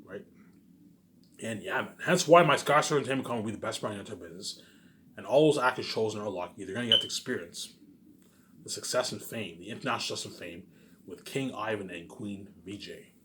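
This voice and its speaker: A man's voice: on the low side, quick pace, a bit bright, a little hiss or hum. A man rambling casually in a monologue, boastful and emphatic, repeating himself and asking questions that he answers himself. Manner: boastful, profane. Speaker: vlogger.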